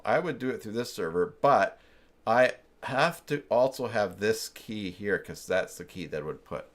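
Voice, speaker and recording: Male live-stream tutorial host, deep register, informal, halting think-aloud delivery. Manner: halting, muttering to himself while working. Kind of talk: casual live tech walkthrough, thinking aloud.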